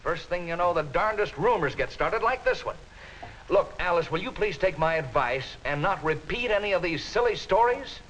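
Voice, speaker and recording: An American actor playing a brusque, patronizing middle-aged office boss in a 1950s instructional film, on a muffled, hissy soundtrack. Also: male voice, sits high, animated, moderate pace, a bit bright. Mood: irritated, scolding. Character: exasperated male accounting department boss, brusque and patronizing.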